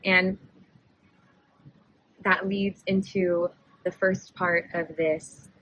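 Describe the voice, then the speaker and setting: A woman's voice: lowish voice, little pitch movement, drawn-out words, fairly bright tone, poor audio. A young woman in her twenties, hosting a podcast and telling a personal story in a chatty, rambling way, often correcting herself and laughing. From United States. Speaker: podcast host.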